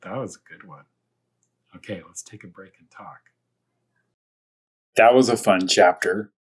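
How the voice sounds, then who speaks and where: A male primary school teacher with a slightly low, rough-edged husky voice leads a storytime for young children. He speaks in plain, short phrases and often repeats words so that little listeners can keep up. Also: a little slow. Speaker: first grade teacher reading aloud. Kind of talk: storytime read-aloud and discussion for young children.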